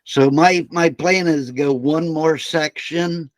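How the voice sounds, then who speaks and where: A man's voice: fairly high pitch, slow, no echo. A man with a Midwestern American accent hosting a casual podcast, talking in an easygoing, rambling way with some casual swearing. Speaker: podcast host. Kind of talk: casual chat.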